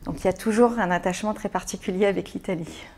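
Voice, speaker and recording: A woman's voice: mid-range voice, darkish tone, a little hiss or hum. A hesitant, thoughtful Frenchwoman. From France. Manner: thoughtful, many pauses and repeated words. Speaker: interview guest, business owner. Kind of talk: hesitant conversational interview answers.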